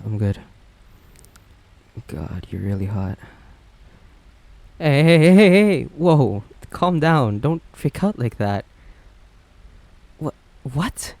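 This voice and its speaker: A man's voice: mid-range voice, darkish tone, dry recording. Flustered, awkward villain on a date, acted by a man. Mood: flustered, startled. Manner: flustered, stammering, conversational. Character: well-known male villain on a blind date, awkward, earnest, legal-minded. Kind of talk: romantic comedy roleplay audio drama.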